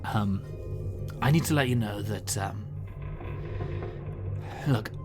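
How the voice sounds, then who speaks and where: A man acting an excitable but war-haunted time traveller in an audio drama, kind and awkward, speaking in halting, earnest bursts. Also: fairly low pitch, music in the background. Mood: grave, regretful.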